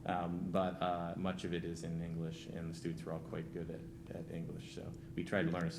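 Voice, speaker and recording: A man with a very low voice gives an informal presentation at a meeting. He searches for words as he goes. The recording has a clear hum and noise behind him. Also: monotone, fairly quick. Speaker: school trip leader presenting. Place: public school committee meeting.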